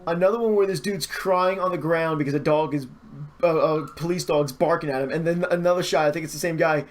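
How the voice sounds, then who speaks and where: A man in a casual podcast chat, informal and joking, often halting on filler words. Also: fairly high pitch. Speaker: podcast co-speaker.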